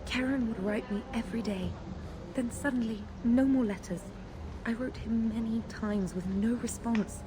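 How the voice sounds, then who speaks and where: An actress playing an anxious sister who pleads earnestly and formally for help in a video game. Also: female voice, fairly high pitch, moderate pace, background noise, lightly acted. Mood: distressed, hopeful. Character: worried, pleading sister seeking her missing templar brother. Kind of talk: dramatic video game acting.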